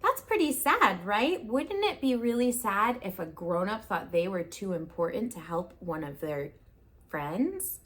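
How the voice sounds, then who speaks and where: A woman reading a picture book aloud to preschoolers, gently asking the children questions along the way. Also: mid-range voice, big pitch swings, unhurried.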